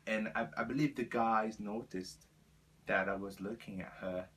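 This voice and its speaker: A man in his twenties from Brazil with a Brazilian Portuguese accent, speaking halting second-language English as he tells his life story to the camera with many fillers. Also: on the low side, steady tone, a bit bright, slightly rough. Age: 20s. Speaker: vlogger.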